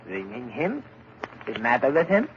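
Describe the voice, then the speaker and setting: An actor playing a calm, probing doctor in a 1940s radio drama, speaking in a measured, soothing way with hesitant pauses. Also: male voice, medium pitch. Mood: calm, inquiring. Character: calm, probing male doctor of mental patients. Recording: noisy, muffled 1940s radio recording.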